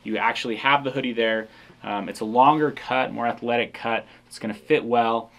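Seated at a camera in an indoor studio, a man in his thirties talks through a product in a casual, explanatory way. His voice sits at an ordinary middle pitch, and he fills pauses with frequent ums. He sounds relaxed. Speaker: company founder presenting a product. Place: indoor studio set with hanging jackets.

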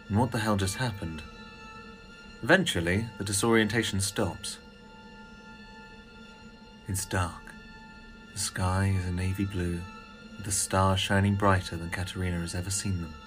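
A man's voice: deep voice, normal speed, long pauses, a bit bright, soft background music. A man reading scripted narration for an audio drama.

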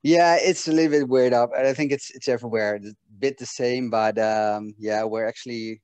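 A man's voice: mid-range voice, a little fast, clear, resonant tone, no echo. Dutch-accented man on a video call. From Netherlands. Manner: relaxed, many fillers and restarts. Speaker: guest author. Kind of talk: casual interview chat over video call. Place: home room.

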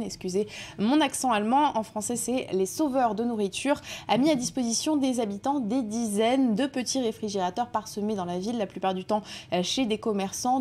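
A woman in her twenties presenting a press review in a TV news studio, explaining clearly with a few hesitations. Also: on the high side, warm tone. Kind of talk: TV news segment.

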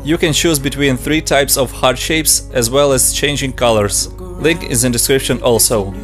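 A young adult man from Ukraine with a Ukrainian accent, giving brisk step-by-step narration for a software tutorial. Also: mid-range voice, soft background music. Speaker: motion design tutorial host.

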